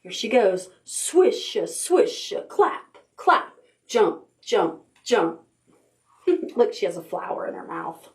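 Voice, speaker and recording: Unhurried woman reading a picture book aloud. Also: mid-range voice. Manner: expressive, rhythmic reading with drawn-out words and chanted sound words. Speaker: children's librarian leading storytime. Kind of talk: read-aloud picture book storytime for preschoolers.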